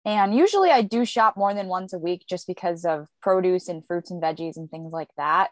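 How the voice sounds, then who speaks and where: Woman co-host, relaxed and rambling. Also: on the low side, normal speed, no echo. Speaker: podcast co-host. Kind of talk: casual chat.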